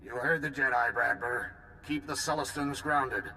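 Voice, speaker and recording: An actor playing a pragmatic male supreme commander who gives brisk military orders, in a video game. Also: medium pitch, steady tone, sharp tone, a little hiss or hum, rough recording. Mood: commanding.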